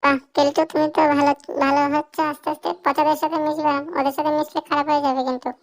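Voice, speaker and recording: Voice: high-pitched, little pitch movement, quick pace. A performer voicing a strict, bossy cartoon schoolteacher who scolds and instructs pupils in a comedy dub. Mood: instructing.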